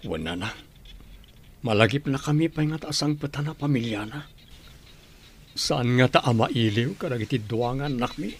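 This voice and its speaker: A man's voice: medium pitch, lively intonation, moderate pace, pauses often, slightly rough. Man playing a plaintive, sorrowful Ilocano family man. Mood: subdued. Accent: Ilocano Filipino. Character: sorrowful ailing husband, father and son. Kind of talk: Ilocano radio drama acting.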